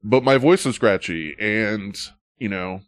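A man's voice: on the low side, animated, unhurried, dry recording. A man hosting a podcast, telling a story in a loose, chatty way. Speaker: podcast host. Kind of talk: casual podcast chat.

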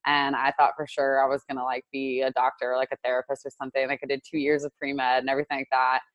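Brief: twenties woman, deep speaking voice, loose chatty podcast guest, dry booth sound. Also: level tone, fast talker, dry recording, lo-fi sound. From United States, Indiana. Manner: chatty, lots of "like" fillers, laughs often. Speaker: guest, digital marketer. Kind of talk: casual interview chat.

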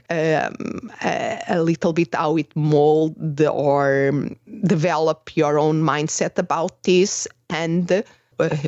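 A woman's voice: low-pitched, a little mellow, dry recording, muffled recording. A woman from Portugal with a Portuguese accent, hosting a podcast conversation, speaking hesitantly with many fillers and restarts. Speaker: podcast host. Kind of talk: casual conversation.

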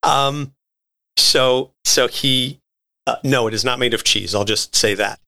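A man's voice: mid-range voice, measured pace, gravelly, pushed and bright. A man co-hosting a podcast, explaining comic book history in a conversational, articulate and wordy way. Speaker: podcast co-host.

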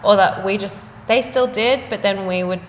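A young woman, an artist chatting casually in an interview, full of fillers and quick laughs. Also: mid-range voice. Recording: echoey room with faint noise.

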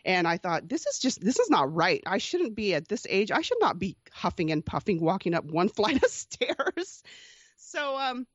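A woman in her forties telling her life story as an interview guest, earnest and reflective, often restarting sentences and breaking into soft laughs. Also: medium pitch, sing-song, moderate pace, no echo, highs cut off. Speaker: podcast host being interviewed as guest. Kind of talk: candid personal storytelling.

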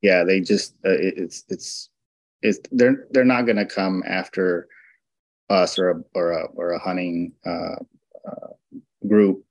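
A low-voiced man answers interview questions on a hunting show. He hesitates often, restarting his sentences and stumbling as he works his way through each answer. The recording is dry and close. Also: steady tone, measured pace, no echo, low-quality recording. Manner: hesitant, many restarts and fillers.

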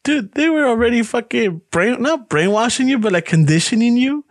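A man's voice: very high-pitched, expressive, a little fast, no room sound, studio quality. Hoge stem, man van midden veertig. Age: 40s. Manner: swearing, rambling, says "like" and "dude" a lot. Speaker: podcast co-host. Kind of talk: casual profane chat, mixing English and Spanish.

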